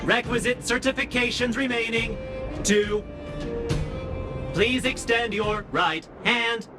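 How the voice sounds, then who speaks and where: A man's voice: extremely high pitch, slow. A man voicing an officious robot gatekeeper in a video game, formal and emotionless. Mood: impassive, procedural. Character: officious robot gatekeeper machine running certification tests. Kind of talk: scripted video game voice acting. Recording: music behind the voice. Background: game music.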